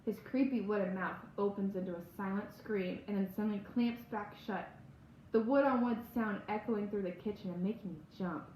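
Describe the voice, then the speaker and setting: A woman reads a spooky first-person children's novel aloud in a dramatic, expressive storytelling manner. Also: mid-range voice, moderate pace. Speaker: audiobook-style reader. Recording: roomy echo, faint background noise.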